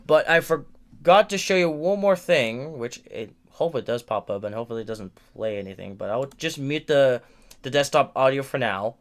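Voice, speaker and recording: A man's voice: mid-range voice, animated, moderate pace. A nineteen-year-old man giving a rambling livestream update from a room in his family home, venting with frequent restarts and repeated words. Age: late teens. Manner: frustrated, venting.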